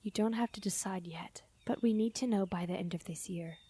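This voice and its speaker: A woman acting in a fantasy audio drama as a calm, firm mother and magical guardian, speaking seriously and deliberately. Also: medium pitch, slightly rough, close to an everyday voice. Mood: grave, gentle.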